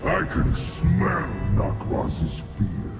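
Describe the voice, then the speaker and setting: A man voicing a mighty, proud winged beast rallying warriors in a fantasy video game, declamatory, over loud music. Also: fairly high pitch, big pitch swings, very slow, gravelly, full character voice. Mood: commanding, triumphant. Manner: commanding, declamatory.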